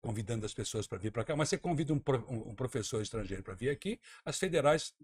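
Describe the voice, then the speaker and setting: Husky, lively-voiced Brazilian man arguing. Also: medium pitch, fast. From Santa Catarina, Brazil. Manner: emphatic, argumentative, repeats words while thinking. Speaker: interview guest, former tourism minister. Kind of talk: radio talk-show interview.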